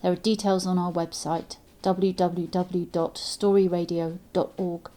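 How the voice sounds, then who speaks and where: A woman's voice: fairly low pitch, flat delivery, unhurried, mellow. A woman hosting an interview podcast, speaking conversationally to guests and listeners.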